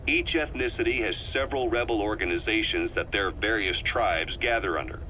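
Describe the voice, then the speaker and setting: An actor playing a grim, seasoned soldier giving a grave, deliberate war briefing in a video game. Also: male voice, lowish voice, sharp tone, highs cut off, a little hiss or hum. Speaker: military briefing narrator in a game. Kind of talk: scripted briefing monologue in a video game cassette tape.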